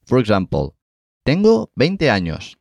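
A man teaching Spanish as co-host of a scripted language lesson, saying each phrase slowly and clearly and encouraging the learner. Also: medium pitch, huge pitch swings, darkish tone, dry recording.

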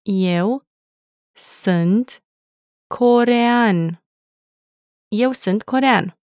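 A woman teaching a language, saying each word slowly and clearly twice in a scripted lesson. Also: mid-range voice, a little mellow, dry recording, clean studio sound. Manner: slow careful pronunciation with repeats. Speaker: native-speaker language teacher.

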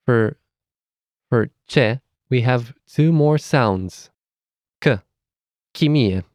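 Romanian-accented male tutor, unhurried careful diction, dry studio sound. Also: mid-range voice, lively intonation, a little mellow, no room sound. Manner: measured, careful enunciation of letters. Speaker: language teacher. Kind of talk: scripted language lesson.

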